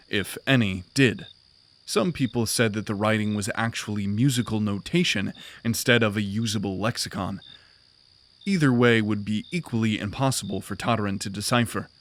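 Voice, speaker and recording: A man reading scripted fantasy narration in a measured, dramatic way for an audio drama. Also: medium pitch.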